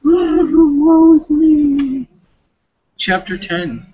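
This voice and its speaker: A male student reading a novel aloud with casual asides, expressive and now and then stumbling over words. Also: very high-pitched, very slow, highs cut off.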